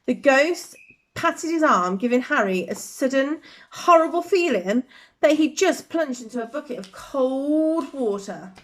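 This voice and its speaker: A woman storyteller reads to children at bedtime. Her voice is high and very lively in pitch, with expressive, dramatic emphasis on the telling details.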